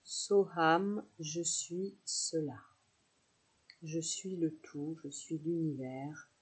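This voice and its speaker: A woman teaching yoga nidra, leading a guided relaxation meditation with soothing, unhurried instructions and pauses between phrases. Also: low-pitched, steady tone, husky, poor audio.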